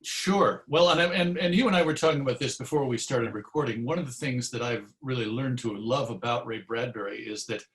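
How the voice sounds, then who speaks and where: A man who hosts radio, answering interview questions conversationally in a reflective, measured way with pauses mid-thought. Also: medium pitch. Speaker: interview guest, radio show host. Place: recorded virtual session.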